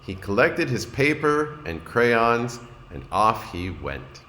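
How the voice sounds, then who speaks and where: A man, a kindergarten teacher, reading a storybook aloud to young children in an expressive, deliberate way and addressing his listeners. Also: mid-range voice. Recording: roomy echo, noticeable background noise.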